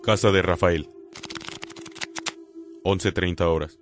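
A man's voice: sits low, fairly even intonation, takes it slowly, music in the background. A man tersely announcing scenes in a radio drama. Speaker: announcer of scene place and time.

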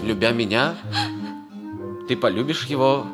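An actor playing a noble, lovelorn young cavalry officer in a theatrical, sighing manner in a 1960s radio comedy. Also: male voice, mid-range voice, a little slow, natural voice. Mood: grave resignation. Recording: 1960s radio play with music behind.

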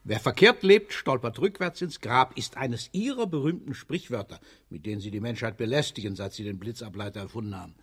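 A man in a German radio drama playing a weary, sardonic middle-aged general and party boss with a husky voice and dry, condescending delivery. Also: mid-range voice, lively intonation, fairly quick, hardly any pauses.

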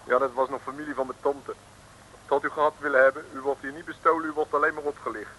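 A man's voice: medium pitch, steady tone, quick pace, bright, forceful tone, husky, poor audio. An actor playing a sly, wheedling male shopkeeper in an old radio comedy, speaking in broken, put-on Dutch. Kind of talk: 1950s radio comedy acting.

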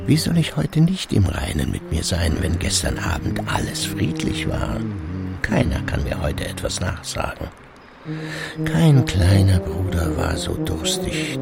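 An actor playing a middle-aged, cultured, self-justifying husband who muses and declaims in a literary radio play. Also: male voice, medium pitch, gravelly, full character voice. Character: self-justifying, melancholy cultured husband, easily weary of company.

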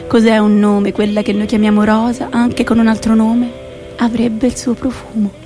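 A woman's voice: a little high, monotone, normal speed, music in the background, natural voice. An actress playing a spirited, slightly eccentric young woman of today in a theatrical monologue for a radio play. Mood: playful, musing. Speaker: stage actress.

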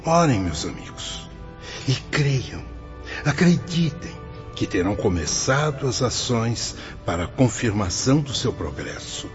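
A man's voice: mid-range voice, very animated, unhurried. A man plays a solemn, kindly director of an otherworldly institute in a Brazilian radio drama. His oratory is fervent and paternal, rising and falling widely. Prominent music plays under the slightly muffled recording. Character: solemn benevolent male spirit director of an afterlife institute. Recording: dull lo-fi radio drama, loud music behind.

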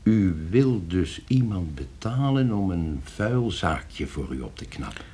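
An actor playing a wary, sardonic addict with a dry, guarded manner in an old radio play. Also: male voice, low-pitched, big pitch swings, slow, warm tone, a little hiss or hum, full character voice. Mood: guarded, probing. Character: wary, sardonic morphine addict being hired to kill. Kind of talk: Dutch radio play acting.

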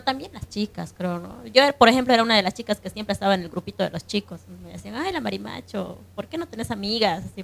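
A woman with a Bolivian accent speaking conversationally in an unscripted radio discussion, often checking for agreement. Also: mid-range voice. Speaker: radio panelist.

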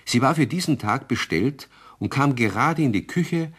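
A man narrating a children's radio play as a genial, expressive storyteller. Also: mid-range voice, moderate pace, husky. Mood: amused, genial.

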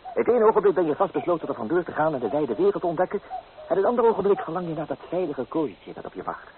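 An actor playing an impetuous, romantic young student lover, coaxing and dramatic, in a radio play. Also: male voice, on the high side, very animated, fast. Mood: coaxing, teasing. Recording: tinny, noisy old radio play.

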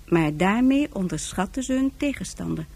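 Mellow female radio drama narrator. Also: mid-range voice, expressive, moderate pace, gravelly. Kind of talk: scripted narration.

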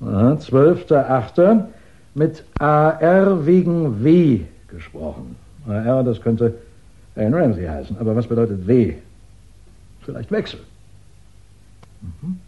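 A man acting a shrewd English police chief in a 1960s German radio crime drama, deliberate and ironically polite, with musing pauses. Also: mid-range voice, big pitch swings, narrow, tinny audio. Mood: musing, triumphant.

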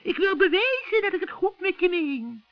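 A man voicing a scheming old witch in a children's radio play, shrill and cackling, with sugary, wheedling friendliness. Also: very animated, no echo, faint music behind, heavily acted. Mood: sly, coaxing. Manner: wheedling, drawn-out coaxing. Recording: dull, dry vintage radio recording.

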